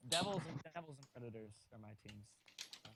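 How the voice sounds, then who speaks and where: A man's voice: medium pitch, animated, a little fast, a crowd in the background. A man from Tennessee chatting eagerly on a casual group voice call. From Tennessee, USA.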